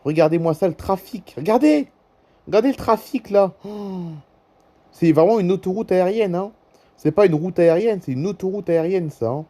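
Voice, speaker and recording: French guy youtuber, mellow kinda high voice, casual unscripted screen commentary, repeats stuff a lot. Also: normal speed. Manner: repeats himself, urges viewers to look. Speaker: YouTuber presenting a flight-tracking app.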